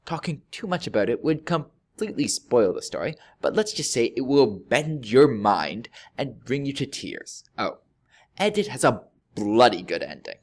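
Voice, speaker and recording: Male narrator for a scripted review: highly varied intonation, dry humour, pointed pauses for emphasis. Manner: wry, deadpan joking with emphatic pauses. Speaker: video reviewer.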